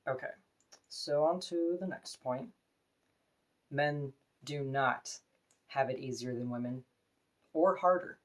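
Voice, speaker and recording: A woman with a deep voice, talking to camera in an earnest, opinionated, plain-spoken personal vlog. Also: slow pace, pauses often. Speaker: vlogger.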